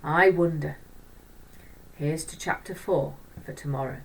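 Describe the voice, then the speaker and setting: A woman storyteller reading a children's book aloud in an expressive narrating style. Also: sits low, unhurried, creaky, far from the mic, a little hiss or hum, rough recording.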